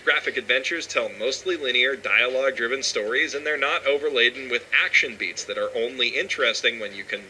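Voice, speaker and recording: A man's voice: medium pitch, brisk, few pauses, sharp tone, slight background noise, rough recording. A man delivering a scripted video essay with an emphatic, opinionated manner.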